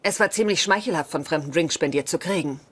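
A woman's voice: deep voice, fast talker, fairly bright tone. A woman playing a wry, dryly joking young single woman in German-dubbed sitcom dialogue. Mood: wry, chatty. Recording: clear background noise.

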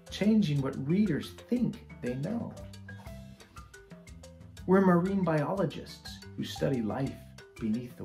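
Slow-paced, gravelly storytime man. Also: medium pitch. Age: middle-aged. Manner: deliberate, teacherly explaining and expressive reading to children. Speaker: picture book read-aloud host. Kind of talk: children's storytime read-aloud, scripted. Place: small room with shelves of picture books.

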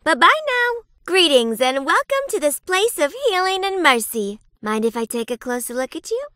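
An actress playing a perky but sinister reaper nurse in a video game, sugary and polite with menace underneath. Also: female voice, high-pitched, very animated, moderate pace, pushed and bright, dry recording, studio quality, heavily acted. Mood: perky, teasing. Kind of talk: theatrical video game character acting.

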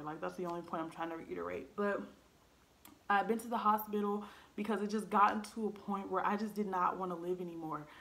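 A woman's voice: mid-range voice, fairly bright tone. A woman around twenty, speaking candidly to camera from her living room, earnest and blunt, with frequent ums and likes. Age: 20s. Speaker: vlogger. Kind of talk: candid personal storytelling to camera. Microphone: camera in front at desk height.

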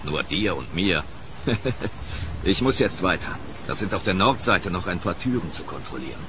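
A man's voice: sits low, lively intonation, normal speed. An actor playing a friendly night patrolman on his rounds in an audio drama. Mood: jovial. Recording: noisy outdoor street ambience.

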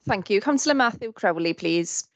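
A woman chairing a council meeting, brisk and businesslike. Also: mid-range voice, animated, no room sound.

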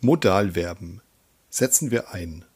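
A man working as a language teacher, reading example sentences in a deliberate, instructional way. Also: low-pitched, animated, darkish tone, slightly rough. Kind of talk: scripted grammar drill, reading example sentences.

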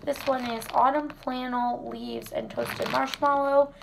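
A hoarse woman chatting casually through a product review vlog in her home kitchen, recorded on a phone camera set on the table. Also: high voice, a little slow, a little hiss or hum, low-quality recording. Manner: chatty, relaxed, many fillers. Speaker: wax melt reviewer.

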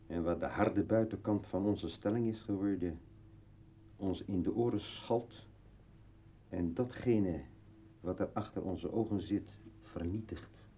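An actor playing a brooding, philosophical old man with bitter irony in a declamatory, halting radio play monologue. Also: sits low, warm tone, a little hiss or hum. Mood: somber, reflective. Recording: dull vintage radio drama recording.

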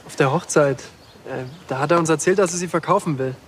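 Actor as an evasive husband, higher-pitched. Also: male voice, fast talker, a little hiss or hum, natural voice. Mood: guarded. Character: evasive, defensive husband under police questioning. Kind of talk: TV crime drama acting.

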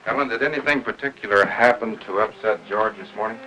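An actor playing a concerned, persuasive family doctor in a 1950s film melodrama, with an American accent. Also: male voice, on the low side, fast talker, highs cut off, a little hiss or hum, natural voice. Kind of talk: 1950s Hollywood melodrama acting. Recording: old 1950s film soundtrack.

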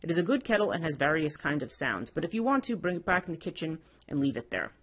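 A storyteller plays a confident temple priest in a storybook folktale. He sounds sure of himself and dismissive, with animated pitch and a husky tone. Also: quick pace. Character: dismissive, confident male temple priest, pleased with his kettle.

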